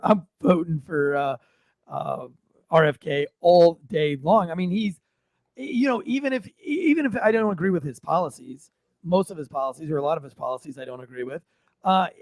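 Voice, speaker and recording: An American man with a notably high voice for a male speaker gives casual, opinionated podcast banter. He is blunt and hammers phrases by repeating them, recorded dry and close. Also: no echo. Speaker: podcast co-host.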